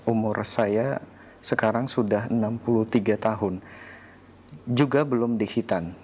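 Quiet formal male moderator. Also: lowish voice. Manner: quiet, formal, reads letters aloud slowly. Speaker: moderator reading listener questions. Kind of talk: religious Q&A moderation. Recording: muffled lo-fi audio.

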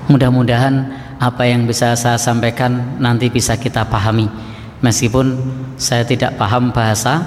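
Guy giving a relaxed religious talk, jokes around, pauses a lot, big echoey hall. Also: mid-range voice. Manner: relaxed, joking with audience, pauses often. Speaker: preacher. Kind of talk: Islamic lecture. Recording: echoey hall recording, faint hiss.

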